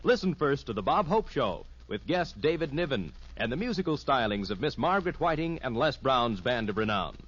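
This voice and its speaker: A man's voice: mid-range voice, big pitch swings, moderate pace, few pauses, a bit bright. A man reading promotional announcements as a 1950s radio network announcer, polished and emphatic. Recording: dull old radio recording.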